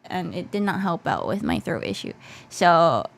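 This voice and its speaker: A woman's voice: on the low side, very animated, moderate pace, gravelly. A woman livestreamer chatting casually in a playful, hesitant, repetitive way, with a stuffed nose and a voice that is slightly giving out. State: slightly losing her voice. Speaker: VTuber livestreamer. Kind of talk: casual livestream chat in English mixed with Japanese.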